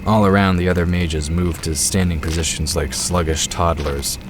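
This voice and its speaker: A man's voice: low-pitched, fairly even intonation, normal speed, noisy background. A man narrating a scripted fantasy story as the narrator of an audio drama.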